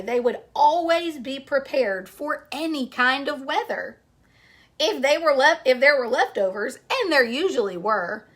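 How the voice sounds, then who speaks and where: A woman reading a children's picture book aloud, pausing between short phrases and speaking directly to young listeners. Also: high-pitched, a bit bright.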